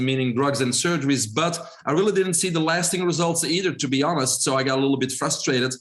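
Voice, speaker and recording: A man in his forties from Belgium with a Belgian accent, a podcast guest who tells his story in a relaxed way with frequent fillers. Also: mid-range voice. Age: 40s. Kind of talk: podcast interview.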